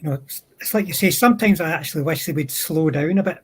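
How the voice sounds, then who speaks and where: A man with a somewhat higher-pitched voice talks as a panelist. His delivery is conversational and informal, as in a casual group chat. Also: fast, a crowd in the background. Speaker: panelist on a hobby livestream.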